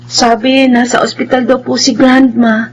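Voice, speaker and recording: An actress playing a respectful, caring young adult daughter in a radio drama, speaking politely and earnestly. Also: female voice, on the high side, little pitch movement, a little slow, dull audio. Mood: concerned.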